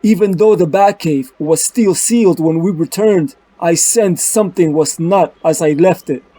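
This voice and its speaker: Man acting a high-voiced, grave detective superhero. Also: moderate pace, mellow, close to an everyday voice. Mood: brooding. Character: Batman, grave, driven adult male detective hero. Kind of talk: dramatic comic-book dub with inner monologue. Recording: music bed under the voice.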